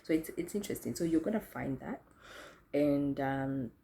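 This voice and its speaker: A woman's voice: low-pitched, normal speed, gravelly, mellow. A young woman from Kenya reflecting on her own experiences in a thoughtful, self-correcting way, often restarting her sentences. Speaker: speaker sharing experiences. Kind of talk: reflective talk to camera.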